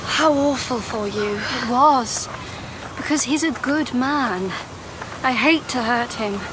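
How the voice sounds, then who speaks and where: A woman's voice: a little high, takes it slowly, background noise. An actress playing a thoughtful, worried young Victorian woman and loyal friend in an audio drama. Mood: sympathetic.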